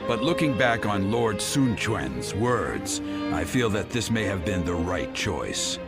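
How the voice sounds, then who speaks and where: An actor playing a stern, loyal officer of an ancient Chinese kingdom in a game cutscene, formal and firm. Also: male voice, lowish voice, very animated, slow, vocal fry, full character voice. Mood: frank. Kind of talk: video game cutscene acting. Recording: loud orchestral music behind the voice.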